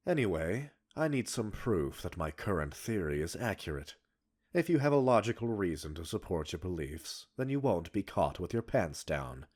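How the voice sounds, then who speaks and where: A man's voice: low voice, a little mellow. A man playing a smug, arrogant male professor in an ASMR roleplay, erudite and teasing, flirtatious and condescending as he talks like a scientist. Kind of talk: ASMR roleplay audio drama.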